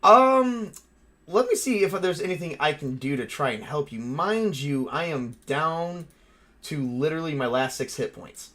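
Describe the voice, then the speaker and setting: A man's voice: on the high side, animated, sharp tone. Casual man running a tabletop game. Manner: casual, halting, swearing. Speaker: game master and player. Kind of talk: narrating a tabletop horror game, improvised.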